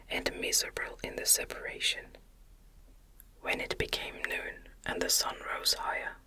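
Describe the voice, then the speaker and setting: Whispered audiobook reading, breathy, soothing, very slow, crisp bright hiss, ASMR style. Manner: soothing, measured, deliberate phrasing. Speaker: audiobook reader. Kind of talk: ASMR whispered literary narration.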